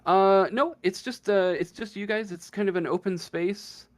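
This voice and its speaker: A man running a tabletop game as game master, improvising the narration haltingly as he thinks aloud. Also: sits high.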